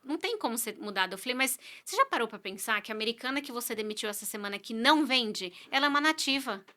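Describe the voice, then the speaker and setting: A woman's voice: on the high side, animated, fast, pushed and bright. A Brazilian woman who works as a real estate agent, talking earnestly in a casual interview. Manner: earnest, conversational, frequent "né" and "sabe".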